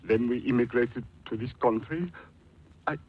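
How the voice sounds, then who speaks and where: An actor playing a grave, wealthy immigrant businessman in his forties, halting and formal, in 1940s radio drama. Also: medium pitch, breathy edge, lightly acted. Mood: halting, solemn. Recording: dull lo-fi vintage radio recording.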